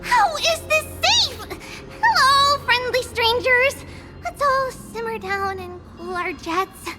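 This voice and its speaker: Treeby, a chirpy tiny priestess. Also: female voice, extremely high pitch, animated, slow, full character voice. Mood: playful. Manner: chirpy, bossy, cheerful. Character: bubbly small girl priestess, playful. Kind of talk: game cutscene voice acting. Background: game music.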